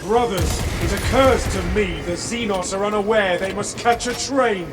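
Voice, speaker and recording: A man playing a calm, measured soldier. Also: high voice, close to an everyday voice. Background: loud game music and gunfire.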